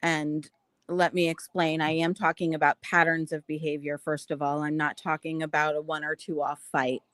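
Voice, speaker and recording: A woman speaking on a discussion panel, explaining her view in an earnest, measured way. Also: flat delivery, fairly bright tone, no echo. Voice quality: self-described naturally high-pitched voice.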